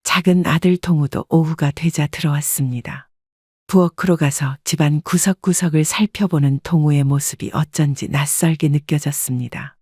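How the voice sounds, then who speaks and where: A woman giving scripted first-person narration in an even, wistful storytelling manner. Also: low-pitched, normal speed, husky, no echo, clean studio sound. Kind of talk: scripted audio drama narration.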